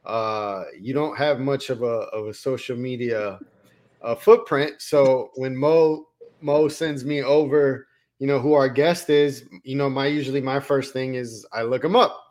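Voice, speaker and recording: A man's voice: medium pitch, a little slow, darkish tone. A man from Colombia co-hosting a podcast, talking in a rambling, friendly way and often repeating words. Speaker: podcast co-host. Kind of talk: casual interview chat.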